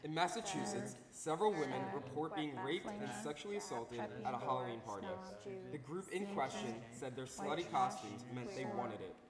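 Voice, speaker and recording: A man's voice: medium pitch, expressive, moderate pace, over a noisy crowd. Young man reciting in a very echoey hall. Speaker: student stage performer. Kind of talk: scripted readers theater recitation. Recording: echoey hall, audience noise behind.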